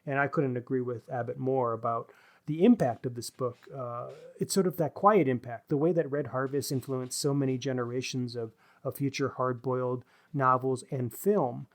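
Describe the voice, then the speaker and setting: A man's voice: mid-range voice, fairly even intonation, normal speed. Male podcast co-host for informal book talk; thoughtful, literary, feeling his way through each point. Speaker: podcast co-host discussing books. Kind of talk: casual literary discussion.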